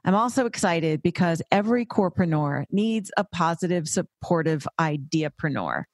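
A woman's voice: fairly low pitch, expressive, moderate pace, no echo. A woman hosting a podcast interview in an upbeat, effusive, chatty way. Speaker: podcast host. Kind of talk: casual interview chat.

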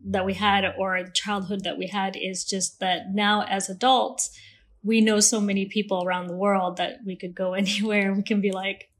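Relaxed woman guest with American accent. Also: medium pitch, normal speed. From Malaysia. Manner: relaxed and talkative, with many ums and laughs. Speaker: podcast guest. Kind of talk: casual interview.

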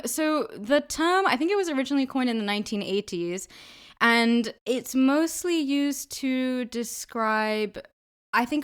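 A woman's voice: on the high side, fairly bright tone, clear, resonant tone. A woman with a British accent speaking as the host of an interview podcast. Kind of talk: scripted introduction and casual interview chat.

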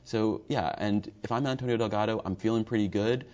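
Deep-voiced man analyzing politics. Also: fairly even intonation, a little fast, a little mellow, highs cut off. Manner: thoughtful, analytical, some restarts. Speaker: political reporter.

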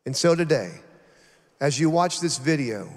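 Man, pastor, earnest, emphatic, slightly high voice, long hall reverb. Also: lively intonation, normal speed. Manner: earnest, emphatic, list-like build-ups. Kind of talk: addressing the congregation.

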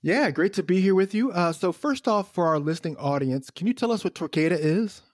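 A man's voice: sits high, animated, brisk, no room sound, clean studio sound. A man hosting a trade radio show, conversational as he leads the guest with questions. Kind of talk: radio interview.

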